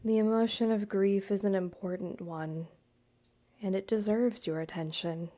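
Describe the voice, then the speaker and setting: A woman guiding a meditation, speaking to the listener in a soothing, measured way with deliberate pauses. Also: mid-range voice, fairly even intonation, warm tone. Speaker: guided meditation host, counselor and yoga teacher. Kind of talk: gentle guided meditation narration, addressed to the listener.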